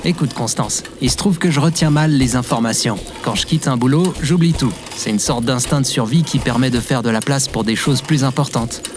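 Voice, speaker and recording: An actor playing an easygoing, forgetful, cheerful young barista in an audio drama, with a casual, good-natured manner. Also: male voice, medium pitch, fairly quick, hardly any pauses, music in the background, natural voice. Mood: reminiscing. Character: Timo, easygoing, forgetful, cheerful young male barista telling his past. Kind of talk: audio drama acting and informal storytelling.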